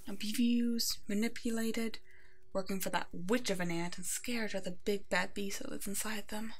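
Kind werewolf pack leader, played by a woman, mid-pitched, soft-spoken and motherly, protective and soothing, for ASMR audio roleplay. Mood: pitying, protective. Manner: gentle, nurturing, soft-spoken, often slipping into whispers.

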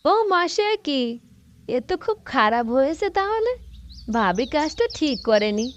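Woman as kind, lively high-voiced lady. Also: unhurried, dull audio. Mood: concerned. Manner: concerned, reassuring. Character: kind, sympathetic well-off lady, gentle-hearted neighbour. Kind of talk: cartoon dialogue. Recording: faint noise, birdsong behind.

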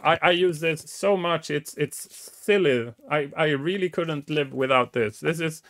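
A middle-aged man, a native Swedish speaker, explains coding topics casually as a show host. His gravelly voice sits at an ordinary pitch. He talks with enthusiasm and stumbles through many restarts and fillers, close on a headset mic in a small room. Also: fairly bright tone. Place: small dim room indoors.

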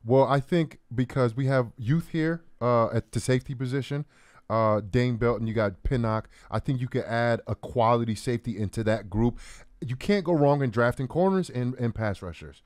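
A man's voice: mid-range voice, moderate pace, a little mellow, no room sound, studio quality. A man in his thirties giving casual, conversational sports analysis as a co-host, repeating phrases as he builds his points. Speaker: former pro football player, co-host. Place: small podcast studio. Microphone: close broadcast mic on boom arm.

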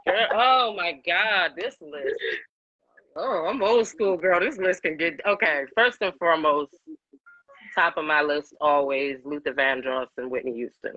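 A woman in her forties, a singer-songwriter guest with a deep voice, chatting animatedly and laughing often in an interview over a video call. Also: a little slow, sharp tone, no room sound, dull audio. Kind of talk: casual interview chat. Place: home room, video call. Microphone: webcam on video call.

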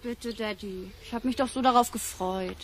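A woman's voice: a little high, normal speed, slight background noise, lightly acted. A woman playing a headstrong, emotional young girl who argues back in a children's audio drama. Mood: pleading.

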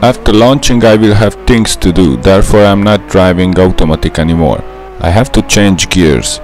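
A man's voice: deep voice, moderate pace, darkish tone, faint music behind. A young man with a Hungarian accent, a native Hungarian speaker, narrating a gameplay tutorial in a careful, methodical way. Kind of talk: scripted gameplay voice-over.

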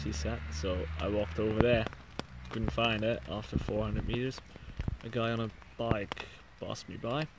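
A young man with a Belgian accent recapping his hike in a casual, low-key, halting way, talking to a handheld camera inside a small tent. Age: young adult. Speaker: hiker vlogger. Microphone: camera or phone at arm's length. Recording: clearly noisy small-camera recording.

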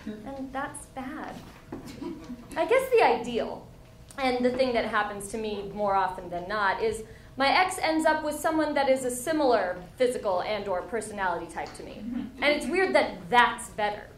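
A woman's voice: a little high, expressive, moderate pace, far from the mic. A young woman reading comedic writing aloud to a live audience. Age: young adult. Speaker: guest reader at a live event. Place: crowded dim indoor bar venue. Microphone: stand microphone at a lectern. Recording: echoey room, noisy audience. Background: audience noise and laughter.

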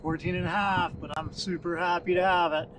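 A middle-aged man filming himself fishing from a kayak, chatting in a low-key way to the camera between catches. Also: level tone, fairly quick, fairly bright tone, low-quality recording. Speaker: kayak angler. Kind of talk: casual self-filmed commentary. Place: outdoors on a kayak on a lake. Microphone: action camera mounted on the boat. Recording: outdoor wind and water noise.

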